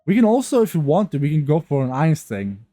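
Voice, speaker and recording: A man with a stuffy, cold-clogged nose talks casually over a game, looping back and restarting his sentences as he goes. His voice is mellow and dark, and the recording is dry and close on a new mic. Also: a little high, very animated, quick pace, no room sound, faint music behind. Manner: rambling, many restarts and fillers. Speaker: gaming youtuber. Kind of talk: casual gameplay commentary. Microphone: new mic, untuned.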